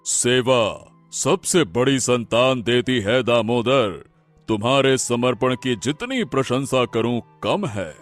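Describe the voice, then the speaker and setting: A man playing a wise, solemn elderly holy man in a cartoon story, speaking in a grave, measured, moralizing way. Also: mid-range voice, lively intonation, soft background music, voice pushed down. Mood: kindly, solemn.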